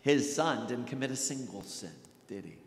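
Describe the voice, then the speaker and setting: A middle-aged American man preaching a sermon as a Lutheran pastor, with emphatic delivery and deliberate pauses. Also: mid-range voice, expressive. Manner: emphatic, dramatic pauses and repetition, often dropping to a hush. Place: church sanctuary at a pulpit. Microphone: gooseneck pulpit microphone. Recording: echoey church hall with background hiss.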